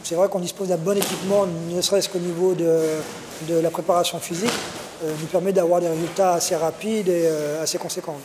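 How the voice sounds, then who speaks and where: A man's voice: high-pitched, steady tone, a little fast, long reverb tail, background noise. A man, a martial arts coach, answering interview questions in a gym, hesitant with many fillers and restarts.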